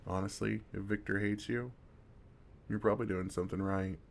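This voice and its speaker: A man playing a kind, wry older vampire who comforts a fledgling in a soft-spoken, reassuring ASMR roleplay, gently teasing with pauses. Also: fairly low pitch, steady tone, gravelly, slight background noise. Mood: warm, lightly joking. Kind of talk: intimate ASMR roleplay, second-person comforting.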